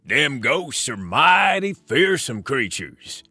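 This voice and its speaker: Man doing a very slow, drawling western rancher. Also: mid-range voice, very animated, bright, forceful tone, breathy edge, no echo, soft background music, exaggerated voice. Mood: folksy warning. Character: slow, folksy male horse rancher, gruffly warning. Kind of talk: storybook character voice.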